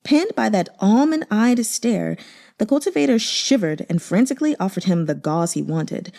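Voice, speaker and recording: A woman reading a novel aloud as an audiobook narrator, in expressive scripted storytelling. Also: mid-range voice, normal speed, vocal fry. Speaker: audiobook reader.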